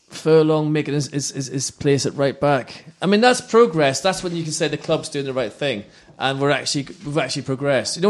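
A man hosting a casual football podcast, teasing his guests and steering the chat. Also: mid-range voice. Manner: teasing, jokey, drives the discussion. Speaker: podcast host.

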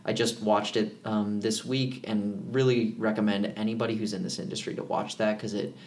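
A man co-hosting a podcast, talking in a relaxed, rambling way with many fillers and restarts. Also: on the low side, moderate pace. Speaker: podcast co-host. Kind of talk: casual podcast chat.

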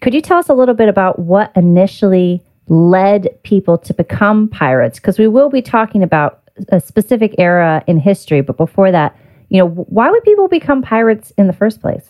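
A woman's voice: medium pitch, sing-song, brisk, warm tone, rough recording. A woman hosting a podcast interview, chatty and enthusiastic, laughing often. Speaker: podcast host. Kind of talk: casual interview chat.

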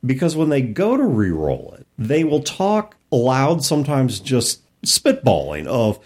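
A man's voice: medium pitch, huge pitch swings, unhurried, a little mellow, breathy edge. A man co-hosting a podcast, chatting casually and informally about tabletop games. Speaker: podcast co-host.

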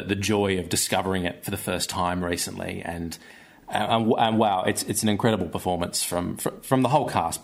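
A man speaking as an actor in an interview, thoughtful and halting, often repeating words. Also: fairly low pitch. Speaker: stage actor being interviewed. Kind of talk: casual interview chat.

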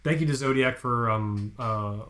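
A man's voice: medium pitch, moderate pace, a bit bright. Male co-host, casual and profane, eager plug-the-site energy, mid-range voice. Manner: hyped, profane, plugging the website. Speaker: podcast co-host. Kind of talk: casual chat.

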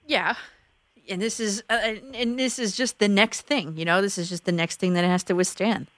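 A woman's voice: medium pitch, normal speed, fairly bright tone, no echo. A woman hosting a sports talk radio show, explaining her points conversationally with occasional restarts. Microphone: studio microphone.

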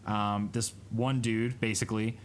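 A man's voice: fairly low pitch, flat delivery, measured pace, slight background noise. A man of thirty, co-hosting a podcast in casual chat and telling a rambling story that keeps correcting itself. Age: 30s. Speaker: podcast co-host.

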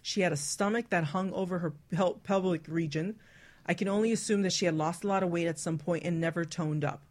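A woman hosts a talk radio show in a slightly low-pitched voice. Her style is candid and conversational, whether she is chatting or reading a listener's story aloud. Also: steady tone, fairly quick, darkish tone. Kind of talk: candid casual chat, reading out listener stories.

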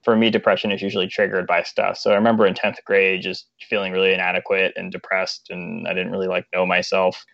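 A man with a lowish, gravelly voice talks haltingly, often stopping to hunt for words. The recording is dry and a bit dull, like a lo-fi mic close up. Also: completely flat delivery, a bit bright, no room sound. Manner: halting, searching for words, fillers. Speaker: podcast guest, musician. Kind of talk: casual interview chat.

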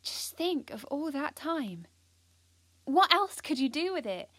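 A woman's voice: sits high, big pitch swings, slow, a bit bright, no echo, full character voice. A young British woman playing an earnest, ambitious, anxious schoolgirl who confides her story in an audio drama. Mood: fired up. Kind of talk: first-person audio drama narration.

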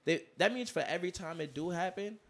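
A man with a slightly high voice speaks in casual slang. He is emphatic and gives advice like a regular guy on a podcast panel. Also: fast. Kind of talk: casual slangy group chat.

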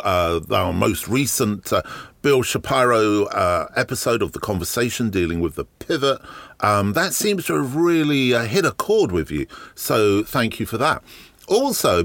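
A man hosting a podcast from his shed, with a slightly croaky voice and a chatty, wry manner full of ums and uhs. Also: mid-range voice, fairly bright tone, no echo. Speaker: podcast host. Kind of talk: casual solo podcast monologue. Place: garden shed.

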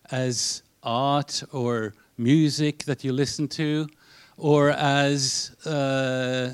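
A man introducing a guest speaker as moderator, speaking haltingly with many pauses and fillers. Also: mid-range voice, no room sound.

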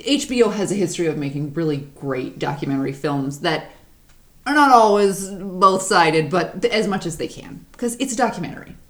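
A woman with a deep voice co-hosting a podcast, chatting casually, animated and swearing freely. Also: brisk. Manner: animated, swearing, laughs often. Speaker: podcast co-host. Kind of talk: casual chat.

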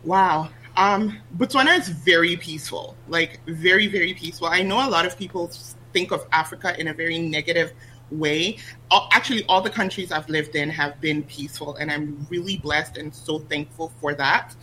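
A woman from Ghana, a guest talking casually about her life and business, lively and quick to laugh. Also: low voice, poor audio. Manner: lively, laughs often, restarts sentences. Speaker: guest, online business coach. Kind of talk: casual interview chat. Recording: faint hum under the voice.

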